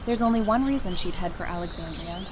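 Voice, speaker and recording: An actress playing a caring, steady woman survivor in an audio drama, speaking in a gentle conversational way. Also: on the low side, fast, natural voice. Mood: concerned. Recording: clearly noisy outdoor ambience behind voice.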